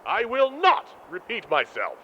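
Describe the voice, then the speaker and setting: An actor playing a haughty, zealous soldier who gives stiff official orders in an audio drama. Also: male voice, very high voice, wildly swooping pitch, measured pace, pushed and bright, exaggerated voice. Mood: threatening. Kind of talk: post-apocalyptic audio drama. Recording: muffled, faint noisy background.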